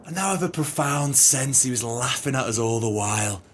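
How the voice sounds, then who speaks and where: A man's voice: mid-range voice, lively intonation, normal speed, a little hiss or hum, natural voice. A man playing a bitter, scheming swindler in an audio play, with theatrical, grandiloquent speech. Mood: despairing outrage. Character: bitter, scheming male swindler, eloquently exasperated.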